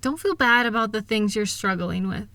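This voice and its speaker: A woman playing a loving, reassuring wife in an intimate comfort roleplay, speaking in a gentle, soothing, affectionate way. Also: medium pitch, expressive, normal speed, no echo. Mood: tender, reassuring. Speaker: audio roleplay voice performer.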